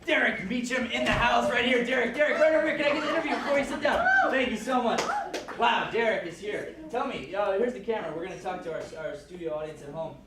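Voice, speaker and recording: A man hosting upbeat on-camera interviews with a showman-like, enthusiastic manner, calling people over loudly. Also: very high voice, fast. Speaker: interviewer at a kids' film camp. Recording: echoey room, far from mic, noisy.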